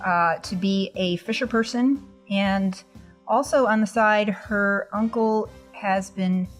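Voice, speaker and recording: A woman speaks casually as a tabletop game player. Her voice sits at a middle pitch, with halting explanations over faint music. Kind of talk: casual character introduction and table talk.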